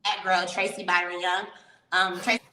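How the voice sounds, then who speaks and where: A young woman from Miami talks as an interview guest, frank and chatty, telling her story with easy laughs. Her voice is bright and forward. She sits back from the mic in an echoey room. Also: on the low side, little pitch movement, normal speed, distant mic. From Miami, Florida. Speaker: guest, professional dancer. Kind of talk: casual interview chat.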